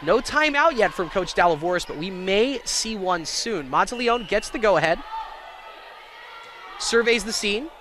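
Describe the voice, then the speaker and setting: High-voiced male volleyball play-by-play commentator. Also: lively intonation, measured pace, pushed and bright, a faint crowd. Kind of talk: live college volleyball commentary. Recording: clear gym noise, bouncing balls. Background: indoor volleyball match ambience.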